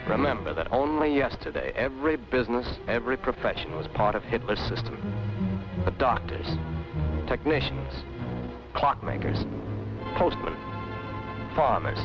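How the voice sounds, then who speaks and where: A man's voice: medium pitch, sing-song, normal speed, long pauses. An American man reading a scripted 1940s wartime documentary narration in a stern, emphatic way, with clipped dramatic phrasing. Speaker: propaganda film narrator. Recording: dull 1940s archive film soundtrack, music.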